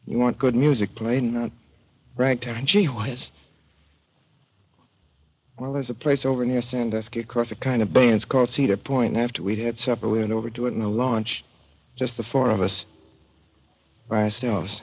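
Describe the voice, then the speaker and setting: A man's voice: mid-range voice, fairly even intonation, moderate pace, long pauses, natural voice. Man playing a young racetrack hand, folksy, wistful, dull vintage radio recording. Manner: folksy slangy storytelling, wistful. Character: young male racetrack swipe, earnest and lovesick. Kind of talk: 1930s radio drama narration.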